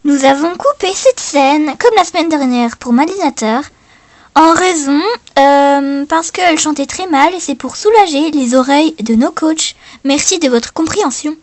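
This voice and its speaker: A female performer playing presenter and coaches in an improvised talent-show parody, speaking in a hesitant, halting way. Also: high voice, close to an everyday voice. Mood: blunt, dismissive.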